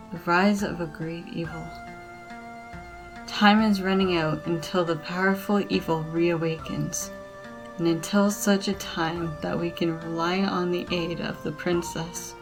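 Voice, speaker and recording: A woman playing a dutiful, grave warrior guide in a fantasy ASMR roleplay, speaking formally and earnestly in measured phrases. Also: lowish voice, poor audio.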